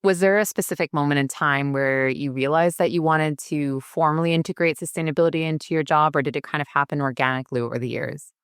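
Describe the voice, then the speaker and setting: A woman's voice: deep voice, steady tone, fast talker, hardly any pauses, clear, resonant tone, dry recording, studio quality. A woman hosting a podcast, chatting casually and asking attentive, reflective questions. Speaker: podcast host. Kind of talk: casual interview talk.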